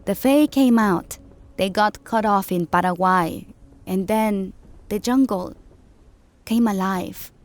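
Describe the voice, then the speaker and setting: A woman's voice: mid-range voice, slow pace, lightly acted. An actress as a proud, candid South American woman. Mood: somber. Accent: South American Spanish. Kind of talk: audiobook character acting. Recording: music behind the voice.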